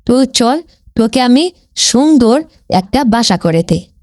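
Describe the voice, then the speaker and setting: A man's voice: very high-pitched, expressive, slow pace, darkish tone, voice pushed up, heavily acted. A man voicing a fearsome man-eating female witch in a cartoon fairy tale, boastful and threatening, with an echoing effect on the voice. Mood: moved, softened. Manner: boastful, threatening, repetitive questioning. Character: fearsome man-eating female witch of the jungle, menacing but soft-hearted. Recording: echoey with long hall reverb.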